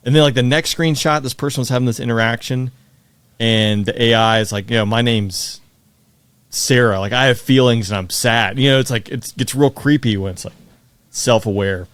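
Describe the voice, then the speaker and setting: A man's voice: medium pitch, a little fast, dry recording. A man co-hosting a podcast, talking in a casual, conversational way. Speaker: podcast co-host, pilot. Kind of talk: casual chat.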